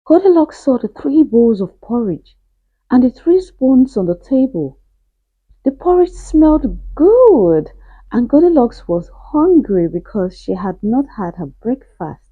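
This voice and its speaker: A woman reads a children's story aloud as a storyteller, with deliberate, emphatic delivery and drawn-out key phrases. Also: on the high side, sing-song, husky, no room sound, narrow, tinny audio. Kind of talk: expressive children's storybook narration.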